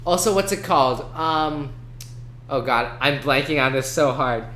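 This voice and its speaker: A man's voice: mid-range voice, measured pace, bright, forceful tone, slight background noise. A man co-hosting a talk show in casual chat, thinking aloud with some hesitation. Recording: echoey room.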